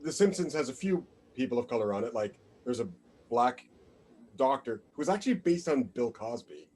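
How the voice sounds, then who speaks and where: A man co-hosting a casual podcast chat, his pitch rising and falling freely as he talks. He works through his thoughts aloud with plenty of fillers. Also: moderate pace. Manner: conversational, hedging with fillers, expressive. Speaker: podcast co-host.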